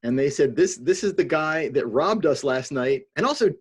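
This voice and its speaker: A man co-hosting a video-call chat, telling a story in a joking, laid-back way. His voice is husky and a little breathy. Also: a little high, animated, moderate pace. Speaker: co-host.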